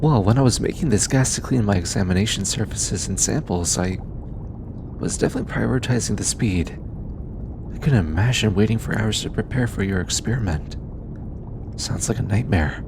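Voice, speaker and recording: A man voicing a gentle, teasing alien scientist in an intimate audio roleplay, eager and given to chuckling. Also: fairly low pitch, moderate pace, vocal fry, noisy background. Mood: focused, explaining. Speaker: voice actor.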